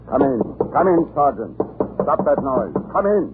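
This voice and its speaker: An actor playing a cruel, gloating and pompous governor of Tsarist Russia, hot-tempered and impatient, in an old American radio drama. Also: male voice, medium pitch, sing-song, moderate pace, natural voice. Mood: impatient bellowing. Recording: tinny, noisy old radio broadcast.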